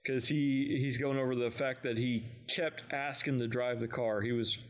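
Male podcast co-host, mid-pitched, casual recap style, informal and halting delivery. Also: steady tone. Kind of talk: casual recap of an audio drama.

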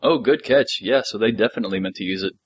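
A man's voice: lowish voice, quick pace, slightly rough, no echo. A man co-hosting a podcast in a casual discussion, explaining things in detail and thinking aloud. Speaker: podcast co-host.

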